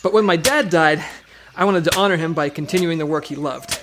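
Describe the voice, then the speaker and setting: A man's voice: on the high side, fairly quick, noisy background, natural voice. A man playing an earnest, dutiful government agent in an audio comedy drama, speaking sincerely. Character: earnest younger male government agent, dutiful team leader.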